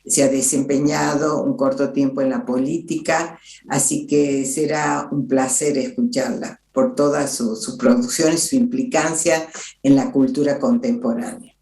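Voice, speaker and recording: A woman hosting an interview, speaking haltingly with frequent restarts. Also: extremely low pitch, fairly even intonation, warm tone, reverberant. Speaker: host. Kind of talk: casual interview.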